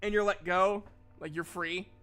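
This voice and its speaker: A man's voice: sits high, fairly even intonation, moderate pace, fairly bright tone, smooth, soft background music. A man narrating and refereeing a tabletop fantasy game as game master in an informal, casually sweary way.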